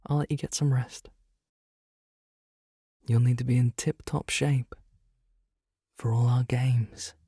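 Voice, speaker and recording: A man's voice: mid-range voice, warm tone, lightly acted. A man voicing an ancient monster in an ASMR roleplay, a smug and possessive captor who talks in a theatrical, teasing, formal way. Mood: gloating, playful. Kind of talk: ASMR roleplay, scripted monologue.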